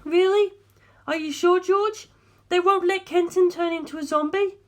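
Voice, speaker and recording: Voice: very high voice, steady tone, normal speed, pitched above the performer's own voice. A narrator voicing an anxious, tearful, well-bred young woman in audiobook dialogue. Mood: pleading, relieved.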